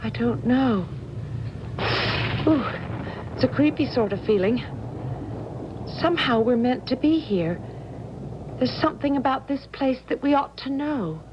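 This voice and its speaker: An actress playing a curious, dreamy young daughter in a radio mystery, with a wistful, theatrical way of speaking. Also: female voice, high-pitched, animated, measured pace, long pauses. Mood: dreamy, uneasy. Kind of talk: 1970s radio drama acting. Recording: muffled, noisy old radio broadcast.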